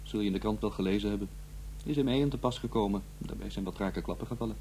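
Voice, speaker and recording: A man's voice: lowish voice, fast talker, a little mellow, natural voice. An actor playing a cold, defiant student son in his twenties in a radio drama, speaking curtly and without feeling. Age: young adult. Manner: curt, blunt, emotionless. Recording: faint noise and hum.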